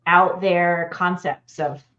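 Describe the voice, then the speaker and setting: Woman, forties, lowish voice, enthusiastic casual co-host, slightly dull video-call sound. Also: steady tone, takes it slowly, bright, forceful tone. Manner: enthusiastic, informal. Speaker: panel co-host. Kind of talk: casual chat. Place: room at home, video call.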